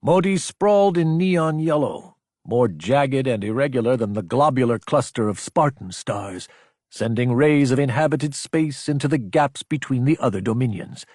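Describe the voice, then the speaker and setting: Husky male audiobook narrator, close and dry. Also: medium pitch, darkish tone, no room sound. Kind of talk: dramatic scripted fiction narration.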